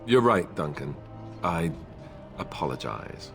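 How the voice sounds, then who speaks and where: A flippant young male warrior from a fantasy game, talks with wry, sheepish humour. His lowish voice swings widely in pitch over faint music. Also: very animated, slow pace, creaky, a bit bright, exaggerated voice. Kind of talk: dramatic video game acting.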